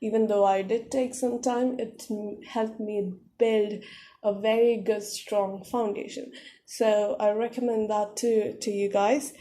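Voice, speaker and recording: A woman's voice: medium pitch, fairly even intonation, a little slow, dark tone. Woman explaining a lesson step by step. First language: not English or Korean. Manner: careful step-by-step explaining with frequent fillers. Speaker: Korean language teacher.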